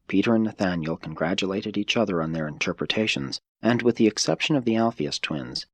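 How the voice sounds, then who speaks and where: A man reading a religious audiobook as a scripted narration, in a measured, formal and instructive manner. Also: deep voice, slightly rough, no echo.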